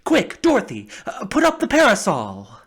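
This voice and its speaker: A woman voicing a gentle, cheerful male scarecrow made of straw, speaking slowly and gravely. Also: fairly high pitch, huge pitch swings, exaggerated voice. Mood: urgent.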